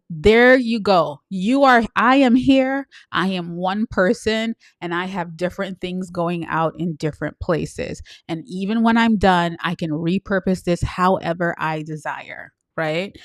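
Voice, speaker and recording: A lively woman with a Trinidadian lilt talks straight to her viewers as a livestream coach, upbeat and conversational. Her voice sits at an ordinary middle pitch. The sound is close and dry, with no room around it. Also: no echo. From New York City. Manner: energetic, keeps checking in with "right?" and "guys". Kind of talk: live-streamed coaching talk.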